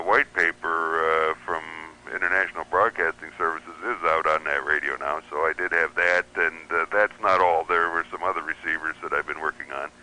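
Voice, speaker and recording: A man with a low, deep voice that carries a bright and forceful edge. He talks as a radio show guest, halting and restarting, with many fillers. The sound comes through as broadcast radio audio. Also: rough recording. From United States. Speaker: guest radio receiver reviewer. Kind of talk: casual interview chat.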